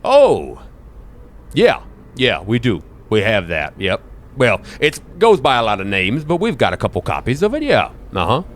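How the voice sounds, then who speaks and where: A man's voice: mid-range voice, huge pitch swings, normal speed. Man playing a rambling, dotty old shopkeeper, swooping voice. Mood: rambling, helpful. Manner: hesitant, rambling, folksy exclamations. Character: dotty, genial old bookshop keeper, rambling and apologetic.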